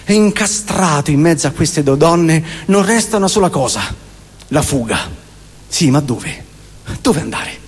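A man's voice: fairly high pitch, animated, husky. Roman man's stage monologue in a reverberant hall. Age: 40s. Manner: emphatic repetitions and deliberate pauses. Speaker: stage performer. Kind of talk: theatrical comic monologue. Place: live theatre performance in a hall. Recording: long hall reverb of a live venue.

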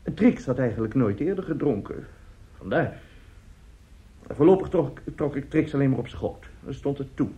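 An actor in a radio drama playing a middle-aged, self-justifying seducer and family friend, speaking haltingly and emphatically. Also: male voice, medium pitch, animated, warm tone, natural voice. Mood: uneasy confession. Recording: dull, muffled radio play recording.

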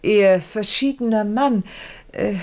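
A woman's voice: mid-range voice, slow, a little mellow. An actress in a German radio play playing a scheming, wealthy widowed noblewoman, haughty, condescending and commanding.

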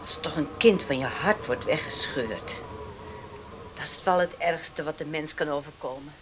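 A woman's voice: low-pitched, moderate pace, natural voice. An actress in a Dutch radio play playing a caring, matter-of-fact island farm woman, plain-spoken and motherly. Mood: sorrowful. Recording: noisy radio drama with wind and surf.

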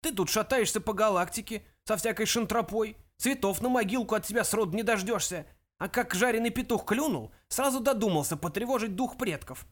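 Man acting a creaky, high-pitched ancient ghost grandpa. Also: fairly quick. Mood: indignant. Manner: stern, pompous scolding. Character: grumpy, scornful ancient ghost great-grandfather, shrivelled old man.

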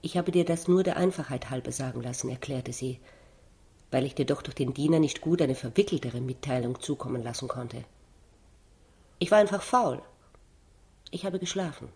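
A woman's voice: very deep voice, normal speed, long pauses, a little mellow. Woman reading literary prose aloud. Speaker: reader.

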